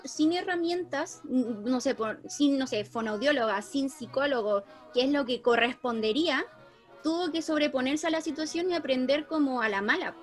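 High-voiced Chilean woman chatting informally. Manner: informal, animated explaining with colloquial slang. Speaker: teacher. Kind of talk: casual discussion of a story.

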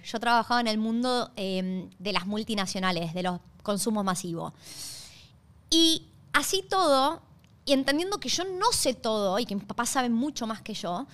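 A woman's voice: on the high side, fairly bright tone. A woman with an Argentine accent hosting a podcast, talking conversationally in an explanatory way and checking in with listeners. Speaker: podcast host.

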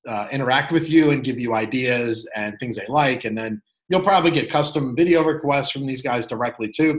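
Male podcast host, rambling advice-giver, halting delivery, average pitch. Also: fairly bright tone, rough recording. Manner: rambling, halting, full of fillers. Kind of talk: casual advice chat.

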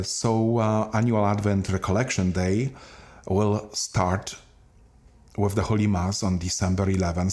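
A man hosts a parish podcast in a very low voice. He talks slowly and haltingly, with many pauses and fillers. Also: darkish tone. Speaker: parish priest and podcast host. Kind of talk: podcast announcement and interview.

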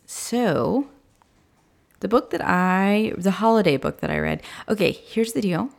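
A woman co-hosting a book podcast, with a slightly raspy voice, talking casually and laughing often. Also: medium pitch, lively intonation, unhurried. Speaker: podcast co-host. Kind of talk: casual chat, book review.

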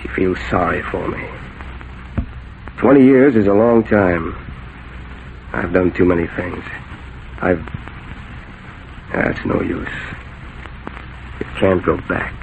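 An actor playing a broken-down, resigned middle-aged saloon owner, halting in speech, in a 1940s radio drama on a tinny recording. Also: male voice, sits low, lively intonation, creaky, slight background noise, heavily acted. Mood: sad, resigned.

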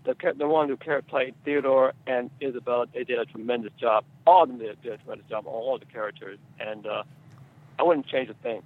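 A man answering as a screenwriter guest in a conversational interview, speaking haltingly and repeating his words and phrases. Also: mid-range voice, phone-quality sound.

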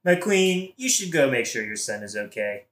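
A man's voice: medium pitch, sing-song, normal speed, fairly bright tone. A man playing a scheming, flippant king in a modern comedic audio play. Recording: roomy echo.

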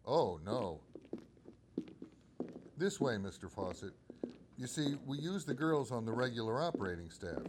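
A man's voice: medium pitch, big pitch swings, unhurried, long pauses, background noise. A man playing an obliging telephone company supervisor in a radio drama, explaining things courteously. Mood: affable, obliging. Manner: courteous, explanatory, crisp phrasing.

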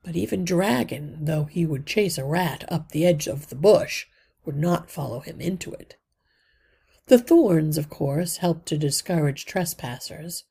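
A woman reads a children's story aloud expressively, with deliberate phrasing that drops into hushed line endings and a husky, breathy voice. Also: sits low. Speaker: read-aloud podcast narrator.

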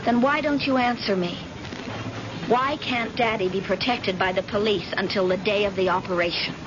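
An actress playing an anxious, devoted grown daughter in an old-time radio crime thriller, speaking earnestly and insistently. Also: female voice, medium pitch, normal speed, natural voice. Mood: pleading, insistent. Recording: dull, very noisy old radio recording.

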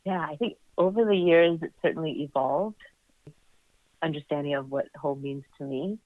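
A middle-aged woman speaks reflectively as an interview guest, often pausing mid-sentence. Her voice comes through dry and thin, with the narrow band of a phone-like call. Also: deep voice, no echo. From born in Singapore, raised there and in the United States. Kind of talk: reflective personal conversation.

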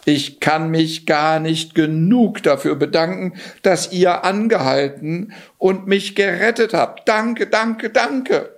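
A man's voice: sits high, slow pace, few pauses, voice pushed up. A man voicing a poor young man who trembles, stammers and is bewildered in a fairy tale. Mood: grateful.

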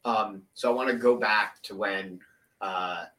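A man's voice: fairly low pitch, fairly even intonation, a little slow, sharp tone, distant mic, rough recording. A man hosting an interview, asking follow-up questions and adding brief affirmations. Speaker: interview host. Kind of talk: conversational interview.

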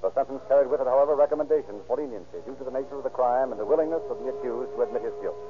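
A man's voice: medium pitch, rapid-fire, soft background music. An American man narrating and announcing in a formal, declamatory 1930s radio style. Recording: tinny, narrow 1930s radio recording.

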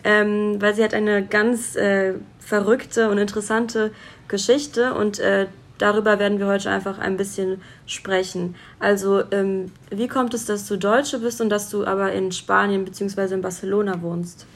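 A woman's voice: medium pitch, little pitch movement, moderate pace. Young female podcast host, chatty and friendly, recorded at a guest's home. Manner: friendly, many fillers, affirming hums. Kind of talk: casual interview chat. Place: guest's home in a city flat.

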